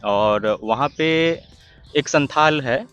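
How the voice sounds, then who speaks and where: A man from Jharkhand explaining facts in a steady interview answer. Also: mid-range voice, drawn-out words, clear, resonant tone, muffled recording, faint music behind. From Jharkhand, India.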